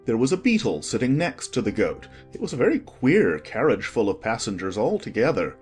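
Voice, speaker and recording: A man narrates a children's storybook with a very lively, expressive delivery. His voice sits at a middle pitch, with faint music underneath. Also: fairly quick.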